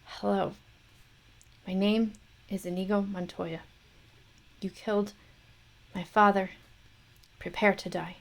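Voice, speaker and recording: A woman's voice: lowish voice, steady tone, a little slow, vocal fry, poor audio. A woman voicing a wounded, vengeful young male swordsman, speaking in a grim, solemn way. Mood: hushed resolve. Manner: grim, repeated solemn vow.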